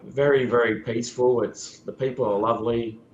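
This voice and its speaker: A man from Australia, a podcast guest with a sore throat and a croaky voice, chatting in an easygoing way with lots of ums. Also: mid-range voice, steady tone, moderate pace, echoey room, dull audio, a crowd in the background. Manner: easygoing, hesitant with many ums. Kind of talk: casual podcast chat.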